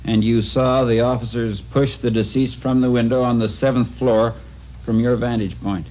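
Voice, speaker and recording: An actor playing a dry, official coroner questioning in a formal, impersonal way in a 1950s radio drama. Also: male voice, mid-range voice, flat delivery, normal speed, dark tone, lightly acted. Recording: muffled, noisy old radio recording.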